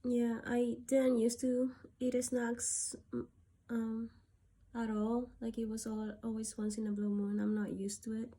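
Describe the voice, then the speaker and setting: A woman from Peru talking through a personal gratitude list, softly and hesitantly, with many pauses and fillers. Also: a little high, little pitch movement. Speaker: vlogger.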